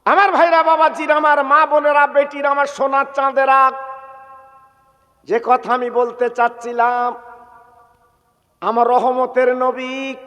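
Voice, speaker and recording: High-pitched man preaching in long reverb. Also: level tone, sharp tone. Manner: plaintive, drawn-out exhortation with repeated calls to listeners. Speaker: Islamic preacher. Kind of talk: emotional storytelling sermon, partly chanted.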